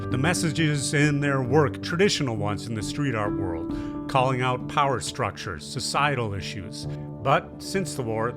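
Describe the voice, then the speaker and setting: A man working as a documentary host, speaking in narration and casual interview. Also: medium pitch.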